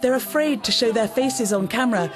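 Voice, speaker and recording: A woman narrating a news report in a fairly high voice. She reads steadily over a loud music bed. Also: fairly quick.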